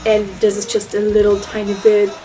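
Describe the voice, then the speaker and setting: A woman's voice: mid-range voice, completely flat delivery, a little fast, mellow, poor audio. Woman, music behind. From Germany. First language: German. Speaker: church member giving testimonial. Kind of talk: personal testimonial to camera.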